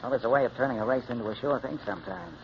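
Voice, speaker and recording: A man's voice: mid-range voice, expressive, very fast, close to an everyday voice. A man playing a shady, coolly coaxing gambler in 1940s radio acting, talking in slangy, insinuating terms. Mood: coaxing menace. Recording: muffled, hissy old radio recording.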